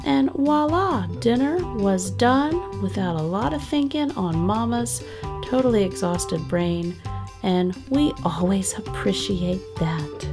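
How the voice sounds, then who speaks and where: Chatty upbeat woman with a gravelly edge. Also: medium pitch, expressive, slow pace. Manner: chatty and upbeat, with frequent laughs. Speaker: family vlogger. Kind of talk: cozy voice-over narration of a cooking and cleaning vlog. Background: guitar background music.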